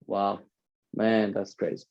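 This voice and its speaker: A man's voice: lowish voice, monotone, takes it slowly, gravelly, highs cut off. A man from Romania chatting casually, earnest and rambling, often tagging "right?" and "you know". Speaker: NFT community host. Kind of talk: casual chat.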